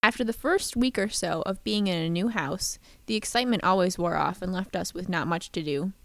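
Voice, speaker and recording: A woman's voice: on the low side, brisk, close to an everyday voice. A woman playing a somber narrator who recalls her childhood in a scripted radio drama. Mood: reflective. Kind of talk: scripted first-person narration in radio play. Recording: loud music bed under voice.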